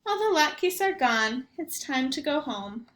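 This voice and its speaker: A woman's voice: high-pitched, very animated, measured pace, a bit bright, roomy sound, voice pushed up, heavily acted. A woman voicing a kindly, fussing old grandmother who cannot see or hear well. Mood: fond. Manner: fond, fussing and sing-song. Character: kindly old woman, half blind and half deaf, chatty.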